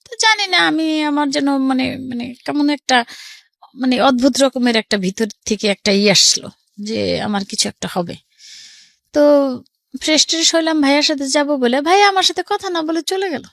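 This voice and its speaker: A woman's voice: high-pitched, expressive, normal speed, a bit bright, dry recording, studio quality. A middle-aged woman with a Bangladeshi accent tells her life story casually and conversationally, often quoting family dialogue.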